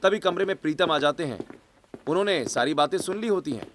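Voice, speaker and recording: A man's voice: a little high, expressive, fairly quick, fairly bright tone, a little hiss or hum. A man reading out scripted story narration.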